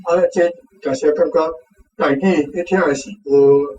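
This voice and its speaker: Roomy, higher-pitched Taiwanese man teaching language. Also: fairly even intonation, moderate pace, warm tone, muffled recording. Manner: explanatory, repeats words and phrases for the learner. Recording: roomy, distant mic with faint noise.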